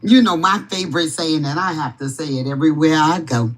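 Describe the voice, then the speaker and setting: A woman giving impassioned political commentary on a live stream, emphatic and forceful, repeating her warnings. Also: sits low, a little fast.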